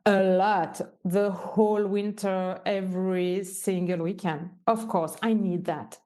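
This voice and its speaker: A woman's voice: medium pitch, steady tone, slow pace, mellow. A woman with a Swiss French accent, a native French speaker, talking as a podcast guest in a hesitant way, restarting often. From Switzerland. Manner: hesitant, frequent restarts, non-native phrasing. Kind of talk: casual interview conversation.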